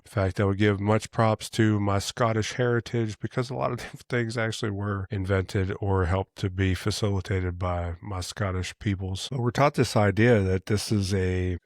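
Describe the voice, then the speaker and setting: A man's voice: low voice, dry recording, studio quality. A man hosting a casual, earnest monologue show, talking in a conversational way with frequent restarts. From United States. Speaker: podcast host.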